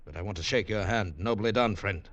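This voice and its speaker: Sly city prankster played by a man, deep voice, dry close sound, mock-polite radio drama part. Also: a little fast, fairly bright tone, no room sound, muffled recording, lightly acted. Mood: sly teasing. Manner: smooth, teasing, mock-courteous. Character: sly, mocking male Boston prankster posing as loyalist. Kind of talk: 1940s radio acting.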